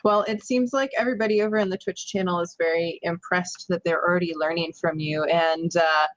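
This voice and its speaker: A woman's voice: lowish voice, steady tone, a little fast, no echo, dull audio. A woman hosting a live stream interview, asking questions in a reassuring, conversational way. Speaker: stream host.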